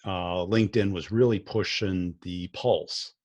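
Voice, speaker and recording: Husky, blunt-talking man. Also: deep voice, fairly even intonation, measured pace, a little mellow, dull audio. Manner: blunt, plainspoken. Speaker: podcast host. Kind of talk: casual conversation.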